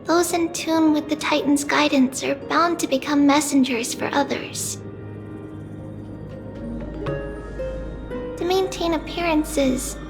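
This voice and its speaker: A woman playing a small, gentle demigod priestess girl in a video game, soft-spoken, measured and wistful. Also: sits high. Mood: calm, wistful.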